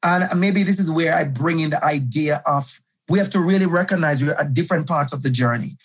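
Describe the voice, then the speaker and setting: Male guest educator, middle-aged, husky and emphatic, conversational interview delivery. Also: fairly high pitch, a little fast. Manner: passionate, emphatic, repeats key phrases.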